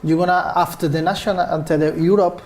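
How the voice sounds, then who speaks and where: A man chatting about football on a panel, halting and searching for his English words. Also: high voice, fairly even intonation. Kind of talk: casual football chat.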